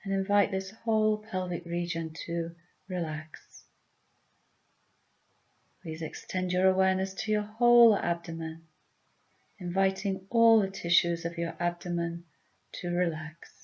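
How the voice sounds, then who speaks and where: Woman, guided meditation, soothing and deliberate, lowish pitch, slightly dull recording. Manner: gentle, soothing, deliberate phrasing. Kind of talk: guided meditation, softly spoken instruction.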